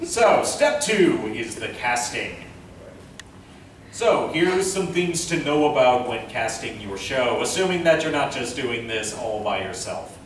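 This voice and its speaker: A man presenting an informal convention panel, speaking haltingly as he thinks aloud. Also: medium pitch, animated. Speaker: panel presenter, amateur director and voice actor. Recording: echoey room from far off, faint noise.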